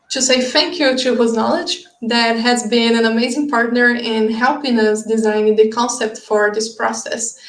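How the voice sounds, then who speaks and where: Earnest Brazilian woman, echoey room. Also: on the high side. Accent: Brazilian Portuguese. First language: Brazilian Portuguese. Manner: earnest, explanatory, with thoughtful pauses. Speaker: presenter. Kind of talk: online conference talk.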